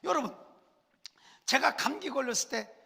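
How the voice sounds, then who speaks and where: A senior pastor preaches while fighting a cold and a cough. His voice is gravelly and swings lively up and down as he asks the congregation questions. It rings out with a long church reverb. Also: extremely high pitch, normal speed. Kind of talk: sermon.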